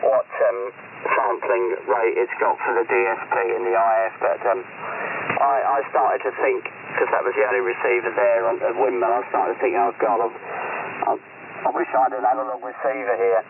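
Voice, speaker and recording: A man's voice: mid-range voice, little pitch movement, moderate pace. A man chatting casually as an amateur radio operator over the air, hesitant and meandering, often correcting himself. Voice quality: gravelly. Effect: ham radio transmission. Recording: narrowband shortwave radio, distant, loud hiss.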